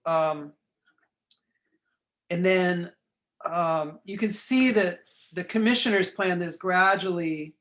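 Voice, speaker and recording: A man's voice: sits high, a bit bright. Man lecturing while losing his voice. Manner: frequent throat clearing, pointing at a map. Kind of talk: recorded university lecture.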